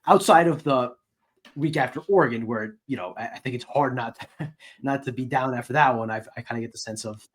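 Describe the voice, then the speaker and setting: A man's voice: medium pitch, a little fast. Male sports podcast co-host and beat reporter, mid-range voice, casual conversational analysis.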